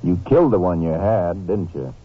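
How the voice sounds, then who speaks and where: An actor playing a tough, sardonic Texas Ranger in a 1950s radio drama, speaking in a dry, commanding way. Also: male voice, sits low, lively intonation, normal speed, slightly rough. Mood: accusing. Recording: muffled vintage radio recording.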